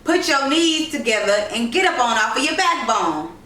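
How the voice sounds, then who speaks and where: A woman voicing an exasperated, sharp-tongued old grandmother from the rural American South in a storybook reading, threatening and scolding. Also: on the high side, very animated, normal speed, far from the mic. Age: older. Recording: roomy echo, noisy, off-mic home recording.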